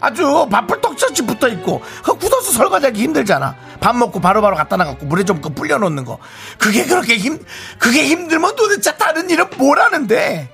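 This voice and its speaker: A man's voice: very high voice, big pitch swings, brisk, gravelly, hardly any pauses, a bit bright, faint music behind, pitched above the performer's own voice, heavily acted. A man playing an aggrieved, touchy wife in a comic radio skit, complaining in a whiny, dramatic way. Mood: whiny outrage. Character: aggrieved, touchy wife venting about, then defending her husband.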